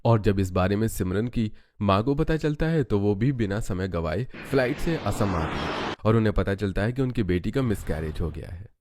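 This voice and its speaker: Low-voiced man narrating a story. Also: animated, normal speed, darkish tone. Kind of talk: scripted cartoon story narration.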